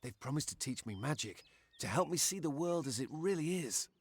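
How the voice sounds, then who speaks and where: A man's voice: on the high side, lively intonation, fairly quick, slightly rough. A man voicing a naive, boastful young man in a video game, speaking in an earnest, impulsive, self-important way. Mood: boastful, eager. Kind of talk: video game character acting.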